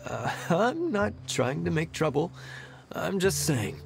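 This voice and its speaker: A man voicing a sickly, mild-mannered young man in a video game, gentle and a little hesitant. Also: fairly high pitch, big pitch swings, takes it slowly, creaky, soft background music, full character voice. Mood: defensive.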